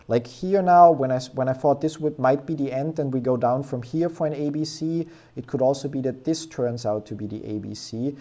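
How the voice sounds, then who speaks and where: Young male streamer with a warm, relaxed tone who walks viewers through charts in a loose, off-the-cuff style. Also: medium pitch. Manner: rambling, filler-heavy, thinks aloud. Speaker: stock trading streamer. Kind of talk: casual live chart analysis.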